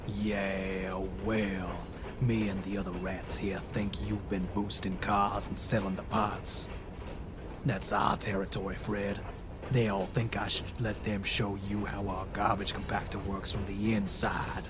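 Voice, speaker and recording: A man voicing a tough, menacing rat crime boss with deliberate mobster talk in a cartoonish audio drama. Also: fairly low pitch, flat delivery, over music, lightly acted. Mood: menacing, angry.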